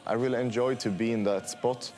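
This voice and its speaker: A man's voice: mid-range voice, steady tone, brisk, a little mellow. A young man from Sweden, a non-native English speaker, answering interview questions in a hesitant way with many fillers. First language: Swedish. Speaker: fighter interviewee.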